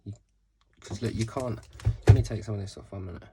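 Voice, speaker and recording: A man's voice: on the low side, fairly quick, very noisy. A man with a stuffy nose from a cold, casually rambling through a how-to tutorial and swearing now and then. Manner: rambling, swears casually, corrects himself mid-sentence. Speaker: sneaker customizer.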